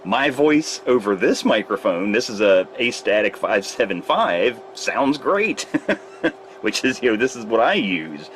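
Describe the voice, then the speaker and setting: A man's voice: lowish voice, sing-song, a little slow, a bit bright, a little hiss or hum. A man who repairs radios, casually explaining his test setup step by step in a workshop. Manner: rambling, explains gear step by step. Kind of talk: casual technical demonstration. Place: repair shop workbench with test gear.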